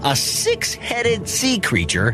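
A man's voice: high-pitched, wildly swooping pitch, takes it slowly. A man telling a scripted children's story as host, in a wry, conversational manner with dramatic emphasis. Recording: music behind the voice. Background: music.

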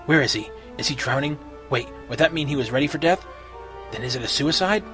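A man's voice: fairly high pitch, animated, fairly quick, music in the background, close to an everyday voice. A man voicing a flustered young male vampire in a story reading, stuttering and hesitant.

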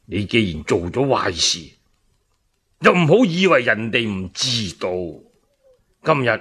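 Man playing an indignant old minister, swooping, husky voice. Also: on the high side, a bit bright, full character voice. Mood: scornful, then accusing. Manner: stammering with outrage, declamatory, drawn-out. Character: indignant old loyal minister, righteous and accusing. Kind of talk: one-man Cantonese radio storytelling drama.

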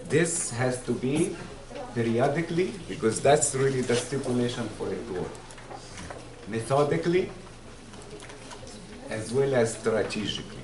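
A soft-spoken man opening a conference presentation in a measured way, recorded from the back of a large lecture room. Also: medium pitch, animated. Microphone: far camera. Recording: echoey room, recorded from far, loud audience noise.

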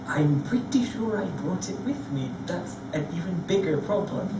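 A man's voice: high voice, expressive, normal speed, distant mic. Guy on a panel telling a funny story, roomy hall, lots of background noise. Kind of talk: anecdotal storytelling. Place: large theatre stage before an audience. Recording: echoey hall recorded from afar.

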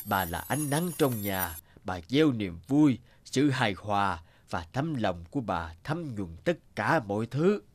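A man voicing a kindly, wise old guardian angel in a cartoon, explaining things gently and solemnly. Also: on the low side, sing-song. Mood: sorrowful, solemn. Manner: slow, sententious, gently explaining. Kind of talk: Vietnamese cartoon dubbing.

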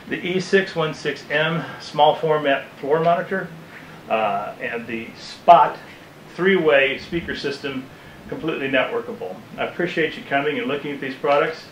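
A man's voice: on the high side, fairly bright tone, slight background noise, rough recording. A man gives an informal, matter-of-fact product demonstration as a distributor. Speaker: loudspeaker distributor presenting products. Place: indoor demo room with small stage.

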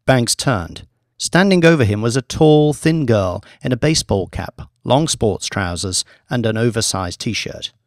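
A man reading a scripted audiobook story in a measured, deliberate storytelling manner. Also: mid-range voice, lively intonation, no room sound, clean studio sound. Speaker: audiobook narrator, author reading own book.

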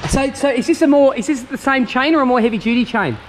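A man's voice: very high-pitched, noisy background. An Australian man hosting a casual vlog, joking and teasing people he meets in a large garage. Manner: cheeky, teasing, fast banter. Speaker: vlogger host. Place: large indoor garage and bike shop.

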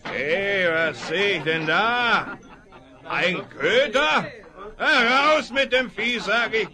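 A hulking saloon bully swaggers and threatens in a high, drawn-out voice. Behind him a crowd murmurs in an old radio play recording. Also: male voice. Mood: blustering. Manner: boastful, threatening. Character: brawny brawling cowboy, loud bully. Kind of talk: 1970s radio play acting.

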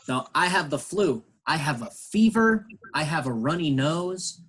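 A man's voice: on the high side, expressive, measured pace, fairly bright tone, slight background noise. A man teaching an online English class, patiently explaining in English and Spanish.

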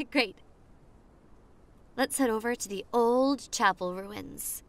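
Slow-spoken, sweet popular girl, acted by a woman. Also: fairly high pitch. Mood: playful, reassuring. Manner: soft, intimate, giggly, telling a spooky story slowly. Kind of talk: ASMR roleplay.

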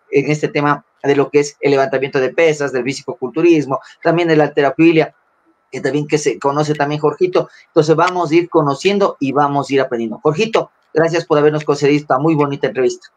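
A middle-aged man with an Ecuadorian accent, hosting a live sports talk show over a video call, chatty and repeating key phrases. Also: a little high. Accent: Ecuadorian Spanish. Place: indoor room, video call. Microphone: webcam mic on a video call.

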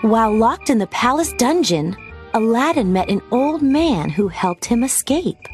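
A woman reading a children's storybook aloud as narrator, with expressive scripted storytelling delivery. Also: on the high side, a little slow. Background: background music.

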